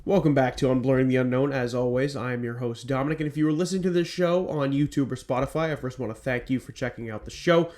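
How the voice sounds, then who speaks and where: A man's voice: mid-range voice, fast talker, few pauses, a little mellow. Stuffy-voiced man hosting a podcast. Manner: rambling with many ums. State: stuffed up from a cold. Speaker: podcast host. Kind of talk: scripted narration then casual chat.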